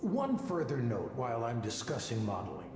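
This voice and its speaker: An actor playing a confident male psychologist giving a measured, didactic conference talk in a visual novel game. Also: medium pitch, huge pitch swings. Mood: critical, assured. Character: confident male psychologist, former tech lead, lecturing an audience. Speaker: conference speaker. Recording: echoey hall reverb, faint music behind.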